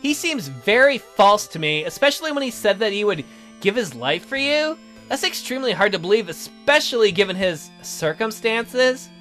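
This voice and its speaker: A man's voice: sits high, very animated, normal speed, pushed and bright, faint music behind, voice pushed up, heavily acted. A man voicing a bitter, sarcastic youth trapped in a child's body, reading visual novel dialogue with theatrical indignation. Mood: indignant. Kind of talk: visual novel character read-aloud.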